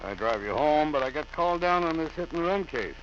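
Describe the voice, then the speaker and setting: An actor playing a genial but probing police captain in an old radio drama, brisk and plain-spoken. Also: male voice, on the high side, animated, a bit bright. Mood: businesslike. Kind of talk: 1940s radio drama acting. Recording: dull vintage radio, faint hum.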